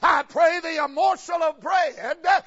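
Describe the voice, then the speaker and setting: A man voicing a stern old prophet making demands, shouting with uh after each phrase. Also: very high-pitched, measured pace. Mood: commanding. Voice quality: shouted, punctuated by uh. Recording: lo-fi recording with faint congregation.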